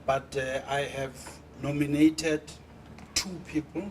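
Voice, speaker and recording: A man playing a slow, polite office supervisor. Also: on the high side, level tone. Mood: respectful. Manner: deferential, measured. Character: polite male supervisor submitting an overtime plan. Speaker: supervisor in a workplace role-play. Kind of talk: amateur workplace role-play. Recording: distant mic with room noise.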